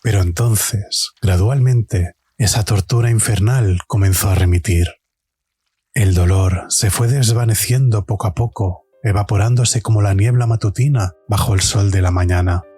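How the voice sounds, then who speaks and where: A man's voice: lowish voice, normal speed, warm tone, slightly rough, no room sound. A man playing a suffering hero of a supernatural tale, narrating an audio drama in the first person. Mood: relieved, drained. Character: suffering young male hero surviving a supernatural trial.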